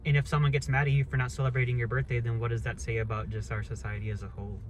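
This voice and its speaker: A man's voice: mid-range voice, flat delivery, rapid-fire, noisy background. A man in his thirties talking through a casual, rambling rant, blunt and swearing freely. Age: 30s. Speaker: vlogger.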